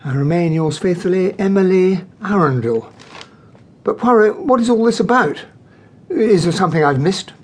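Genial English gentleman narrator-sidekick for radio drama: higher-pitched, husky, very animated, well-bred British accent, a touch impatient. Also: normal speed. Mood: puzzled, impatient.

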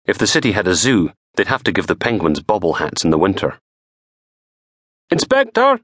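A middle-aged man reading a crime novel aloud in a dramatic, measured storytelling manner. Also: low-pitched, lively intonation, fairly bright tone, no echo, studio quality. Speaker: author reading own audiobook. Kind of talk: scripted crime fiction narration.

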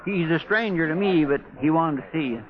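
An actor playing an eager, folksy, loyal deputy with a Western American accent, in 1950s radio western style. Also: male voice, on the high side, fairly quick, close to an everyday voice. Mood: eager, warm. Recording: tinny vintage radio recording, crowd noise.

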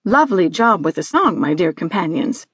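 A woman voicing a sweet female sheep in a storybook, speaking with slow, careful wonder. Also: on the low side, very animated, a bit bright, slightly rough, dry recording, heavily acted. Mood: amazed, delighted. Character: sweet female sheep newly able to talk.

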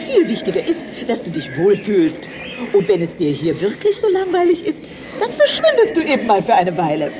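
A woman's voice: mid-range voice, huge pitch swings, normal speed, noisy background. An actress playing a grumpy but caring old female witch, hundreds of years old, in a theatrical, scolding children's audio play style. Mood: soothing, firm. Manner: theatrical, scolding, swooping exclamations.

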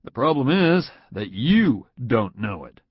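A man's voice: medium pitch, very animated, measured pace, husky, dry recording. A man voicing a confident male executive in an audiobook, speaking firmly and emphatically. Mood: assured. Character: confident smiling male boss, direct and warm. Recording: dull lo-fi audio.

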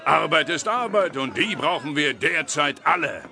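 An actor playing Störtebeker, a bold, boastful, hard-drinking medieval sailor, in an audio drama. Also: male voice, a little high, animated, moderate pace, creaky, bright, forceful tone, exaggerated voice. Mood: teasing, swaggering. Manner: boastful, swaggering, blunt. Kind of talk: German audio drama acting. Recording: noisy tavern ambience behind.

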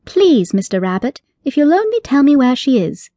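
A woman voicing an innocent, earnest young woman with a pleading manner, her pitch raised above her own voice. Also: very animated, moderate pace, warm tone, no echo.

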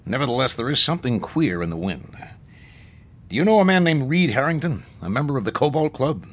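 An actor playing a brisk, worried police commissioner in a full-cast audiobook drama. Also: male voice, medium pitch, very animated, slightly rough. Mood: concerned, brisk.

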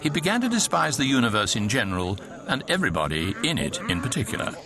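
An actor as a wry, urbane narrator of a comic science fiction radio drama. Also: male voice, mid-range voice, very animated, over music. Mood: ironically grave. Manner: wry, precise, measured irony. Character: wry, urbane storybook narrator of galactic absurdities. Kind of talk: scripted narration, radio comedy.

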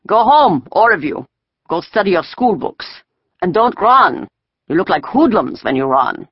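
A narrator voicing a sneering, domineering soldier who questions children in a loud, curt way, in a storybook reading. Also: mid-range voice, sing-song, a little slow, husky, dry recording, highs cut off, pitched above the performer's own voice, exaggerated voice. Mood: stern, commanding.

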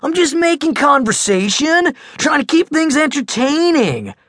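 A man voicing a huge, rowdy teenage boy who talks loud and jokes. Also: extremely high pitch, very animated, measured pace, no echo, clean studio sound, voice pushed up, exaggerated voice. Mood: teasing. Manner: loud, blasting, joking.

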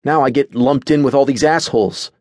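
A man voicing an excitable, opinionated young man in an audiobook story, eager and emphatic. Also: medium pitch, brisk, no echo, clean studio sound, pitched above the performer's own voice, close to an everyday voice. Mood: enthusiastic, opinionated.

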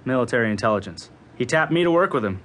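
An actor playing a cocky, easygoing bomb-disposal expert in an audio drama, wisecracking and excitable with a breezy manner. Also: male voice, medium pitch, fast, lightly acted. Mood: relaxed, wry.

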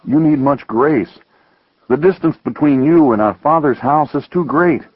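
A man voicing a calm, patient, merciful eldest brother in a parable, speaking in a measured, gentle way. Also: a little high, no echo, phone-quality sound, pitched above the performer's own voice. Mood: firm, patient. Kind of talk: dramatized parable storytelling.

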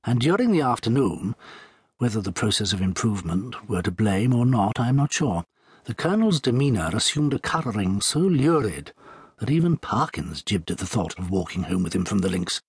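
A man narrating a literary story as an audiobook, measured and articulate, with formal phrasing. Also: lowish voice, very animated, breathy edge, dry recording.

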